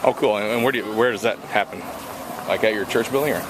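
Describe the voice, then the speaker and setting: A man hosting a casual vlog outdoors on a mountain walkway, upbeat and quick to say how great things are. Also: on the low side, noisy background. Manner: upbeat, says "awesome" often. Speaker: vlog host interviewing conference attendees. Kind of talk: casual on-the-go interviewing.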